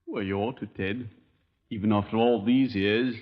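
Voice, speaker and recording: A man's voice: fairly low pitch, fairly even intonation, moderate pace, close to an everyday voice. An actor playing a cheeky, matey ex-navy man with breezy, slangy banter in a 1950s radio drama. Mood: jovial, cheeky. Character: cheeky, matey ex-navy pal held as suspect. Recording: dull, lo-fi 1950s radio recording.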